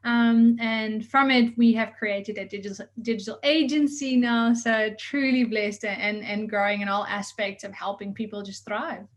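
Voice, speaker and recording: A woman's voice: medium pitch, steady tone, moderate pace, hardly any pauses. A woman with a mixed Dutch and South African accent, talking earnestly as a coach on an interview podcast, with frequent ums and restarts. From Netherlands. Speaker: results coach guest.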